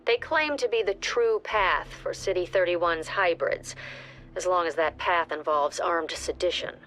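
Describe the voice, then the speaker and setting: An actress playing a stern commander briefing a tactical police squad in a clipped, authoritative way. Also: female voice, fairly low pitch, measured pace, a bit bright. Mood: stern, businesslike. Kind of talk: scripted game briefing narration. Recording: music under the voice.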